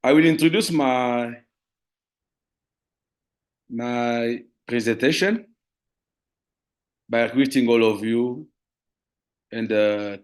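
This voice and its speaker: A man from the DR Congo with a Congolese French accent, giving a formal presentation as a lawyer and teacher in careful, halting non-native English. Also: mid-range voice. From Democratic Republic of the Congo. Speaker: lawyer and university teacher, guest speaker.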